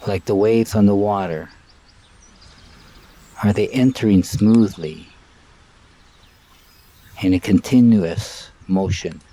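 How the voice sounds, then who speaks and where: A man leading a live guided meditation in a calm, measured way, in short phrases with long pauses, outdoors. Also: fairly low pitch. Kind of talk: live guided meditation, spoken softly and unscripted. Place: outdoors by water and trees.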